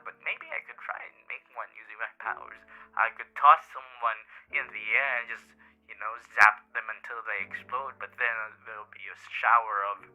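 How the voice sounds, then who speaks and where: Theatrical radio demon, muffled old-radio sound. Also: male voice, mid-range voice. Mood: playful. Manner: theatrical, pompous, teasing, with laughs and halting asides. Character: vain, smitten male radio demon, theatrical and menacing. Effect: old-time radio filter. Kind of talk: character roleplay audio addressed to the listener. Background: music.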